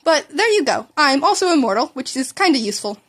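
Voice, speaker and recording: A woman's voice: sits high, very animated, fast. A woman voicing a cheerful, teasing teenage superhero boy in a fan-fiction reading, breezy and explanatory.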